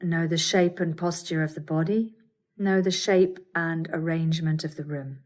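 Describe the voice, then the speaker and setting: Female yoga nidra guide, lowish register, soothing and measured instruction for a meditation practice. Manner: calm, soothing, deliberate instruction with pauses. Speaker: yoga nidra teacher guiding a relaxation practice.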